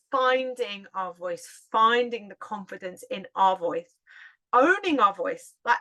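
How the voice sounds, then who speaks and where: Middle-aged english woman with a hertfordshire accent, loud and chatty, laughs a lot, bright voice. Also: mid-range voice, rough recording. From England. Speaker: podcast host and yoga teacher. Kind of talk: casual solo podcast talk.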